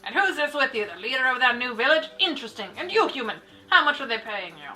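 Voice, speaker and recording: Sharp, sneering undead villainess. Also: female voice, sits high, sing-song, fast, faint music behind, heavily acted, poor audio. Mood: haughty. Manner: taunting, haughty. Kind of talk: scripted fantasy game dialogue.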